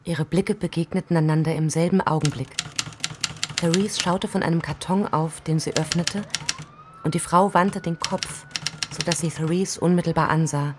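Novel narrator, played by an actress: low female voice, measured, descriptive, typewriter clatter behind. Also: steady tone, noisy background, close to an everyday voice. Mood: absorbed, intent. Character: literary woman narrator reading a novel of longing. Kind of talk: novel reading in radio drama.

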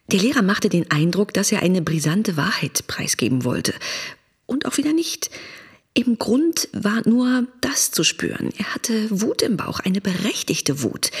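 A woman playing a candid, bemused pharmacist, telling her account in a breathy, confiding voice in a radio drama. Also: mid-range voice, exaggerated voice. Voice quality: breathy, husky. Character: female pharmacist, candid and bemused talk-show guest.

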